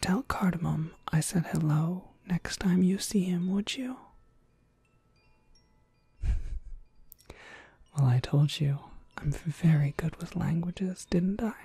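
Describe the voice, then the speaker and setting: Kindly witch shopkeeper voiced by a female actor, low-pitched husky whisper, gentle and courteous, for ASMR roleplay. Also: no room sound, full character voice. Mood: gently knowing. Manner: gentle, polite, unhurried. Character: friendly witch shopkeeper, kindly and softly welcoming.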